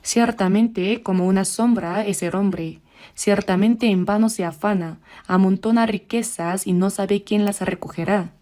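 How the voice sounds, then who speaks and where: Lady preaching at church, soft warm tone, slows way down reading bible verses. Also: mid-range voice, steady tone. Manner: measured, slows down for scripture readings. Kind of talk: sermon.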